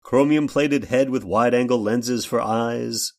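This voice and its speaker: A man's voice: mid-range voice, a little slow, gravelly. A man playing a fussy, evasive, hesitant workshop owner recounting a crime in an old-time radio horror drama. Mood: amused, gruff.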